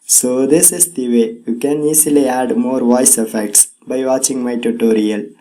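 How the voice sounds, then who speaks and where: A man's voice: medium pitch, fairly even intonation, moderate pace, dark tone, a little hiss or hum, low-quality recording. A man hosting a tech tutorial in scripted narration, with non-native English phrasing.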